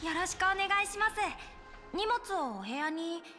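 A woman's voice: very high-pitched, big pitch swings, moderate pace, bright, forceful tone, faint music behind, exaggerated voice. A woman voicing a polite, eager young hotel bellboy in a Japanese video game, speaking courteously. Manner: courteous, formal service speech.

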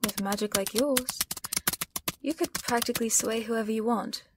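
A woman's voice: on the high side, normal speed, background noise, lightly acted. A British woman playing a sly, teasing supervillain in an audio roleplay, wry, knowing and probing.

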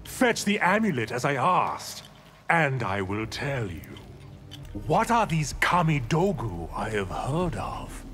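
A man's voice: medium pitch, sing-song, slow. A man voicing a slippery, scheming demon sorcerer in a video game, sly and theatrical. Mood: sly bargaining. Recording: music playing behind.

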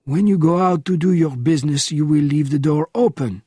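Wry seventy-year-old French agent, unhurried and dry-humored, as a male narrator puts him on, husky and close. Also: fairly high pitch, warm tone, dry recording, pitched above the performer's own voice. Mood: calm.

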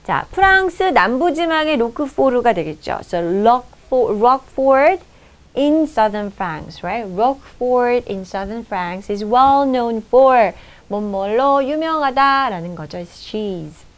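A woman in her thirties teaching an English lesson in a studio, reading the English text slowly and clearly and then explaining it in Korean. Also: a little high, expressive. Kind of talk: video lesson explaining a news article. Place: studio in front of a projected screen.

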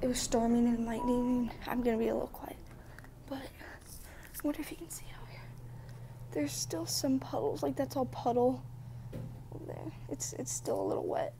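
A woman's voice: a little high, little pitch movement, normal speed, creaky, long pauses, dark tone, in a whisper. A young woman vlogging casually about her trip, chatty and giggly, filmed on a phone at arm's length in a hotel room and a car. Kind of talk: casual travel vlog. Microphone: phone held at arm's length.

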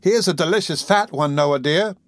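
A man's voice: fairly high pitch, moderate pace, no room sound, clean studio sound, pitched above the performer's own voice, close to an everyday voice. A man voicing a doting, coaxing young serving woman in an audiobook, with a fawning, affectionate manner. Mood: coaxing, fond. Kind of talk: audiobook character voice.

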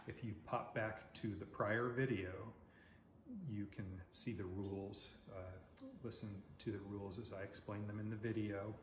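A man's voice: lowish voice, fairly even intonation, normal speed. Chatty man in a very echoey room. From Oregon, United States. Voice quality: loud voice. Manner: chatty, explanatory, lots of filler words. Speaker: insect channel host. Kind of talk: casual talk to camera. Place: dining room at home, indoors. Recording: very echoey room, faint noise.

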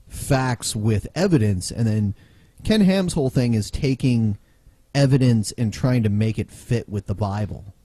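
A relaxed man hosting a podcast, talking casually and chuckling along with his co-hosts. His voice is mellow and warm, recorded close and dry on a podcast mic. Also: on the low side, dry recording. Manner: relaxed, chatty, laughs easily. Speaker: podcast host.

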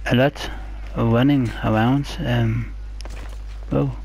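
Guy doing slow hesitant lets play talk, bit of background noise. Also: medium pitch, fairly even intonation, creaky, darkish tone, poor audio. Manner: hesitant, halting. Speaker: gameplay video host. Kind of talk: casual gameplay commentary.

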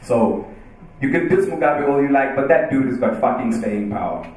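A man in his thirties from Zimbabwe answers audience questions on stage in a relaxed, joking way, with many fillers. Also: medium pitch, moderate pace. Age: 30s. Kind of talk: casual talk and Q&A on stage. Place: large dark event hall with audience. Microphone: handheld mic on stage, heard from afar. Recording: echoey hall, distant mic.

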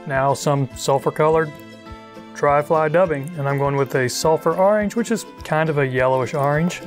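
Middle-aged man, fairly high voice, easygoing chatty teacher, soft music behind. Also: normal speed. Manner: relaxed, chatty, thinking aloud. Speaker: fly tying instructor. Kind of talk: casual instructional narration. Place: outdoors on a wide river.

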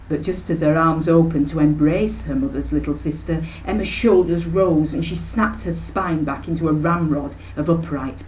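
Narrator: woman, 60s, lowish pitch, expressive storytelling, some noise on home recording. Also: rough recording. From Yorkshire, England. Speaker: author reading own novel. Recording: noticeable background noise, webcam microphone.

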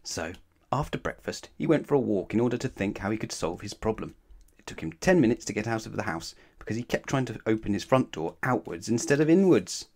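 Casting: male bedtime-story narrator, expressive and very lively in pitch, leaning on words for drama. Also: fairly quick. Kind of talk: expressive children's read-aloud.

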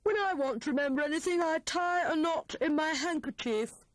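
A woman's voice: high voice, moderate pace, dry recording, pitched above the performer's own voice, close to an everyday voice. A woman voicing a bold, boastful male hare in a storybook reading. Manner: bold and self-assured.

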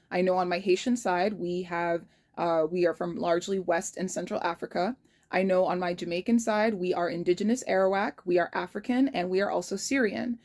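Earnest young woman vlogging from her kitchen. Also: fairly low pitch. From United States. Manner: earnest and reflective, frank, spells out numbers carefully. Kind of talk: casual diary vlog. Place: kitchen indoors. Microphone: phone held low at arm's length.

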